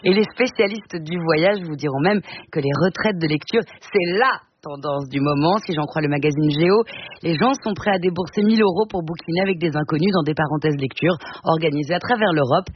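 A woman reads out a light culture column on the radio in a chatty, wry way, with quick asides thrown in. The sound is muffled, and a clear hiss runs beneath it. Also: low-pitched. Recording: dull radio broadcast with hiss.

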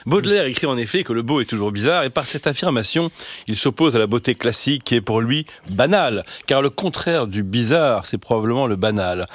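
Man calmly explaining a philosophy question. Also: medium pitch, no echo. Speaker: philosophy columnist. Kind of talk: radio commentary on a listener's question. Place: radio studio. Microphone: studio microphone.

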